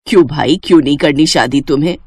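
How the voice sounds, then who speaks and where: A woman's voice: low voice, fast, husky, no room sound, clean studio sound, voice pushed down. A woman voicing a doting middle-aged Indian mother who coaxes and fondly scolds in a moral story. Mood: persuading. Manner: coaxing, affectionate, mock-scolding. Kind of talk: animated Hindi moral story dialogue.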